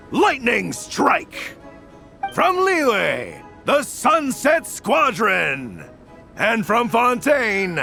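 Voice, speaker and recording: A man voicing a formal, upbeat race referee in a video game, announcing in a measured, drawn-out way. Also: high voice, creaky, pushed and bright, full character voice. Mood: rousing. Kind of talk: ceremonial event announcing, scripted game dialogue.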